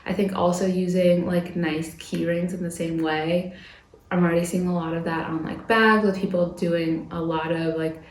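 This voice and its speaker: A woman's voice: lowish voice, fairly even intonation, normal speed. A young woman in her twenties, talking through fashion trend picks in a chatty vlog while recovering from a cold. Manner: chatty, lots of "like" fillers. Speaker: fashion vlogger. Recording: echoey room.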